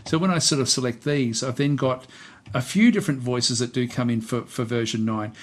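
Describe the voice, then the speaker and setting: Rambling man talking through a software tutorial. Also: mid-range voice, brisk. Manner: rambling, hesitant, repeats himself. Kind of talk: casual unscripted screen-recorded tutorial and review.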